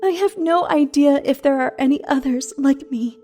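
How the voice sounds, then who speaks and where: A defiant shapeshifting monster, played by a woman with a fairly high voice, snapping with sarcasm and bitterness. It is an audio horror drama, with music underneath. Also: normal speed, dark tone, lightly acted. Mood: anguished. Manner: sarcastic, foul-mouthed, combative. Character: defiant, bitter century-old female shapeshifting monster in human form.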